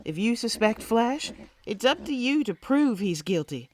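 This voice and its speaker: A woman's voice: on the high side, sing-song, unhurried. A woman playing an earnest, commanding frontier sheriff in a comic-book Western audio drama. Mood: firm, commanding. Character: resolute female frontier sheriff, fair-minded and lovestruck.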